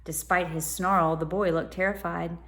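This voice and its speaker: A woman narrating a children's storybook as a teacher would, pausing to explain words to listeners. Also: on the low side, flat delivery, fairly bright tone. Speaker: teacher reading aloud.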